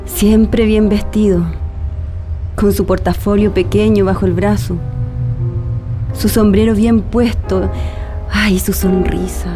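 Nostalgic Chilean daughter over music, acted. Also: female voice, medium pitch, steady tone, slow pace, pauses often, lightly acted. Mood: fond, nostalgic. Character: nostalgic daughter fondly remembering her militant parents. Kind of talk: conversational radio drama testimony. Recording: radio play with music bed.

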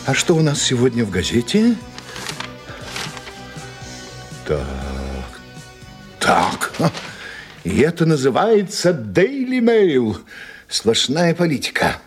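A man acts out a self-dramatizing male mystery writer in an audio drama. He is fretful and theatrical, musing to himself in a medium-pitched voice that rises and falls freely. Faint music sits behind him. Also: huge pitch swings, slow pace. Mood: irritated. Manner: theatrical, musing, flustered and hesitant when surprised. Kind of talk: radio play acting.

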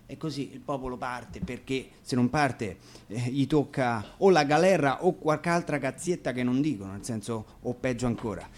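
A man's voice: mid-range voice, moderate pace. A man casually introducing and explaining songs, colloquial and hesitant. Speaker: musician and bandleader presenting the songs.

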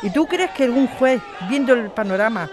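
An actress playing an indignant activist lawyer and daughter in an audio drama, challenging her opponent with forceful questions. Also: fairly high pitch, animated, moderate pace, over music, natural voice. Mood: indignant, defiant. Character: combative activist lawyer daughter defending evicted neighbours.

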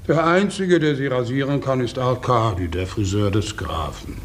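A man's voice: mid-range voice, normal speed, slight background noise, lightly acted. An actor playing a vain, stingy Russian nobleman, a count's brother, in a theatrical, boastful, mocking manner in a 1950s German radio play.

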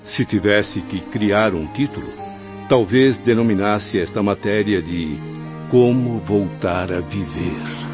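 A man's voice: lowish voice, takes it slowly. A man playing the humble, hesitant spirit of a learned professor in a radio drama, with formal, earnest diction. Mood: solemn, humble. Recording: muffled radio play with music bed.

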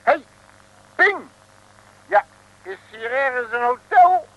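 A man's voice: very high-pitched, expressive, takes it slowly, bright, forceful tone, highs cut off, heavily acted. A man in an old radio play playing a cocky, chatty, streetwise detective. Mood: jaunty. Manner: folksy, boastful, colloquial. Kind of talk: 1960s radio play acting.

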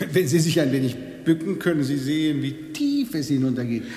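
A man's voice: on the high side, normal speed, warm tone, natural voice. Officious, menacing slaughterhouse guide in an echoing hall played by a man. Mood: coaxing, insistent. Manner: officious, pedantic, coaxing with veiled menace. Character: evasive, menacing male slaughterhouse manager giving a tour. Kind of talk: radio drama acting. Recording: echoey hall with long reverb.

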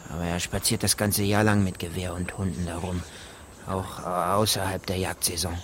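A noisy recording carries a low-pitched country gamekeeper in a radio play. He is gruff and evasive, answering in clipped, offhand everyday phrases. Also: level tone, normal speed, fairly bright tone. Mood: hesitant, offhand. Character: gruff, evasive rural gamekeeper, son of a poacher. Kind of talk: German radio drama acting.

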